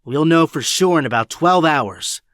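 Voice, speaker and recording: A man's voice: on the high side, expressive, moderate pace, fairly bright tone, dry recording, studio quality. A young man playing an anxious, earnest teenage boy hero in an audio drama, urgent and a little breathless. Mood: determined.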